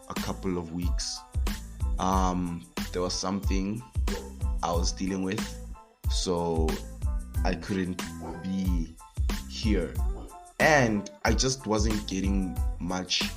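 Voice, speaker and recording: A young man with a low voice talks slowly and loosely, restarting his sentences often. He sounds a little sick as he hosts his own podcast. Soft music plays faintly behind him. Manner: rambling, relaxed, with many fillers and restarts. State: a bit sick. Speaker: podcast host. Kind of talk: casual solo faith podcast talk.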